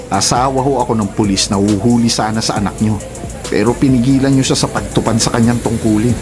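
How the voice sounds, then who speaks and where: A righteous husband, played by a male radio actor, speaking at a middle pitch over clear background music. He is confrontational when wronged yet respectful to his elders, in the heightened manner of Filipino radio drama. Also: fairly even intonation, fairly quick, natural voice. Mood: furious, accusing. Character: indignant, righteous husband of a policewoman.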